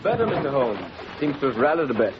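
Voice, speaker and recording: Male actor as polite young gentleman secretary for radio play; mid register, hesitant, muffled and clearly noisy old recording. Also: mid-range voice, big pitch swings, quick pace, natural voice. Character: courteous young secretary, newly engaged, restrained. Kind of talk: 1940s radio acting.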